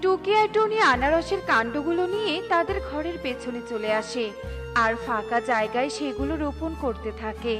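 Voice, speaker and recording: A woman's voice: sits high, normal speed, over music. A woman narrator reading a scripted children's story.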